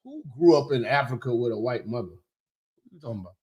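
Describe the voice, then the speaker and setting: A man hosting a comedic commentary, talking loud, profane and animated, sometimes shouting. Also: medium pitch, a little fast, slightly rough, highs cut off. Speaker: commentary host.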